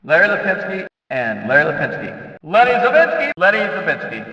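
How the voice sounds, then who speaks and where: Echoey, distant arena sound, hyped-up video game race announcer, showy name calls, wildly swooping pitch. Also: male voice, big pitch swings, fairly quick, lo-fi sound, noisy background. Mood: punchy, upbeat. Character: hyped-up male stadium race announcer calling drivers' names.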